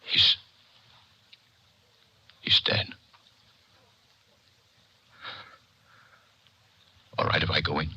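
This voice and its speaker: A man playing a distraught, grieving father in a 1940s radio drama, halting, trembling and emotional. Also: very deep voice, completely flat delivery, sharp tone, husky, heavily acted. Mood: dread, pleading. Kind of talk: 1940s radio melodrama acting. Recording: vintage 1940s radio, music behind.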